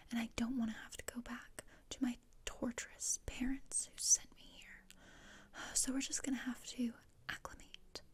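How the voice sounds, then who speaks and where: Woman acting gothic teen girl, breathy whisper, higher-pitched, flat delivery, morbid, bossy, condescending, ASMR roleplay, close mic. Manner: deadpan, dry, matter-of-fact. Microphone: close ASMR microphone. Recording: close-up with clear noise and clicks.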